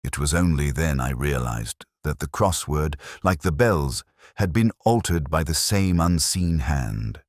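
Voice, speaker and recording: A man narrating a first-person detective story in a measured, melancholy, literary manner. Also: very deep voice, lively intonation, no room sound, clean studio sound. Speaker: audiobook narrator.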